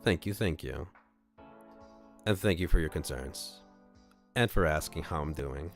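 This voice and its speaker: A man casually chatting on a livestream and reading a visual novel aloud in a rambling, halting way. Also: a bass voice, faint music behind.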